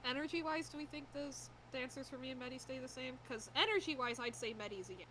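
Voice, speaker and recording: A woman's voice: high-pitched, quick pace, fairly bright tone. A young woman chatting casually with friends on a stream, rambling with lots of "like" and frequent laughs. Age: young adult. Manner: rambling, many "like"s, laughs often. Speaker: streamer host. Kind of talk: casual group chat. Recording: noisy stream audio.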